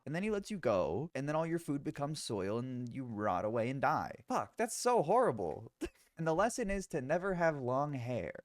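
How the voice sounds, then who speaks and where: A young man in a comedic commentary rant, animated and incredulous, breaking into laughter and swearing. His pitch swings widely through each line. He is recorded on a close mic in a dry room with no echo. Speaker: YouTuber reacting to clips.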